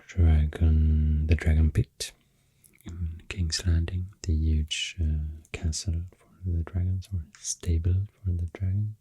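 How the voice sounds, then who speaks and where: Deep, breathy Swedish-accented man, half-whispering. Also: little pitch movement, warm tone, no room sound. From Sweden. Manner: hesitant, unhurried, halting rambling in short phrases. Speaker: ASMR creator and host. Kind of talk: soft-spoken ASMR rambling. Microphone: close, dry microphone.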